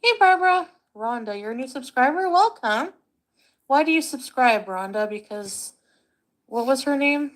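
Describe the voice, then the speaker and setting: A woman from Canada with a fairly high voice reads viewer comments aloud on a livestream. She greets people by name and answers their questions. She has a headache and keeps it casual. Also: lively intonation, moderate pace, rough recording. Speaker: livestream co-host.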